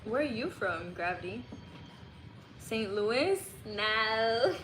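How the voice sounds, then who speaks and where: A woman's voice: fairly high pitch, big pitch swings, takes it slowly. A young woman from Illinois in the American Midwest, chatting playfully on a livestream and answering viewers' guesses. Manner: playful, laughs often, guesses aloud at viewers' comments. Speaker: livestream host. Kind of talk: casual livestream chat reading comments. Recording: echoey room with clear background noise.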